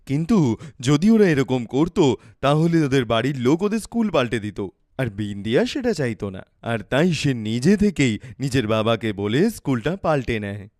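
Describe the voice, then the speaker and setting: A man's voice: medium pitch, sing-song, moderate pace, darkish tone, dry recording, clean studio sound. A man narrating a scripted cartoon story as the narrator.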